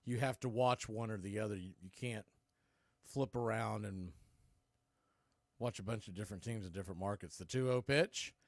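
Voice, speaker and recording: An American man doing live baseball play-by-play in clipped, punchy calls with pauses, mixed with casual fan chat. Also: lowish voice, creaky, no echo, clean studio sound.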